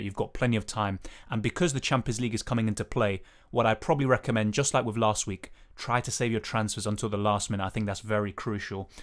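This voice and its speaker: Young man, raspy, lower register, casual live-stream Q&A host. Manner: casual, hedging, many fillers. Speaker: fantasy football streamer. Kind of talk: live stream Q&A, reading chat.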